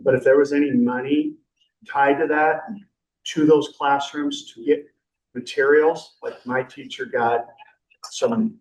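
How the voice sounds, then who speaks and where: A man's voice: mid-range voice, fairly even intonation, measured pace. A man chairing a council meeting, chatty and rambling, with light joking. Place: public meeting room. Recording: echoey room from a distant mic.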